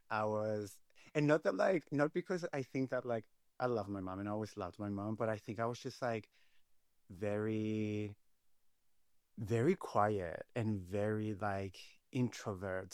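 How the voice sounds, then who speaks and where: Guest: young man from Chile, low-pitched, animated and gushy, dry close sound. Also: clear, resonant tone, dry recording. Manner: animated, chatty, calls people honey and babe. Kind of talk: casual chat.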